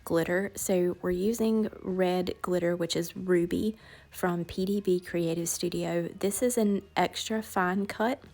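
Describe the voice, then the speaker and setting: A woman with a raspy, hoarse voice, chatting step by step through a crafting tutorial voiceover. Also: lowish voice, fairly even intonation, measured pace, darkish tone. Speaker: crafting channel host.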